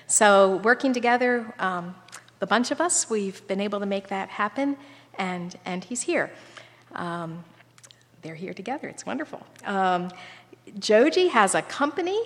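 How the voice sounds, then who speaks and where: A woman introduces a visiting speaker to a congregation, hesitating and filling pauses. Her voice carries a long reverb from the large room. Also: mid-range voice, expressive, a bit bright. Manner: hesitant, many fillers. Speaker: mission representative introducing a guest. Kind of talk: casual introduction. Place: church sanctuary.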